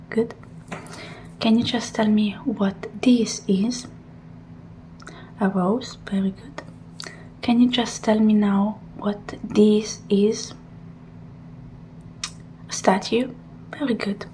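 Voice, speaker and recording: A woman doing an ASMR roleplay as a technician, speaking softly and gently in murmured reassurances and instructions, often slipping into a breathy whisper. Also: medium pitch, a little hiss or hum. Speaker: ASMR roleplayer playing a technician who examines a robot. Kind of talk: ASMR roleplay, soft personal attention.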